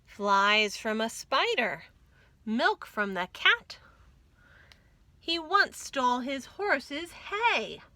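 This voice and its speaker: A woman reading a rhyming children's story aloud as a storytime reader, expressive and deliberate. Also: high-pitched. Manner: deliberate, rhythmic verse reading.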